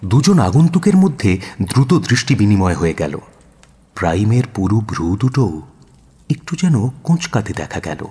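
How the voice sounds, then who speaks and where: An actor playing a detective's companion who narrates a radio drama in a very husky voice, measured and dramatic. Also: male voice, lowish voice, sing-song, warm tone, heavily acted. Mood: dramatic, suspenseful. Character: detective's male companion, dramatic, observant storyteller. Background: music under the narration.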